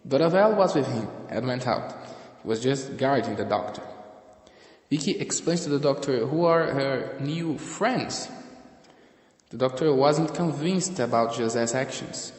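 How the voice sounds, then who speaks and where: A man narrates a story at a slow, halting pace. His voice sits at a middling pitch and rings in an echoey space with long reverb. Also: darkish tone. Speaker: narrator and reader of a fan audio drama.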